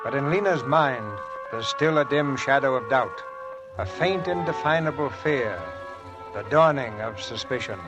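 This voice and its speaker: A man's voice: medium pitch, animated, rough recording. Formal male radio host over music. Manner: formal, measured, portentous. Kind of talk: scripted dramatic narration, 1940s radio.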